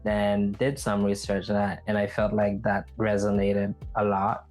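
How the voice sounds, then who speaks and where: A man's voice: on the low side, completely flat delivery, a little slow, darkish tone, faint music behind. A man from Haiti hosting a podcast, talking casually and reflectively about personal experience. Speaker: podcast host.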